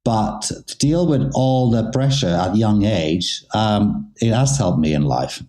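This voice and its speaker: Deep, mellow middle-aged man. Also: animated, slow. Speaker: interviewed athlete, former figure skater. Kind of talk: casual interview storytelling.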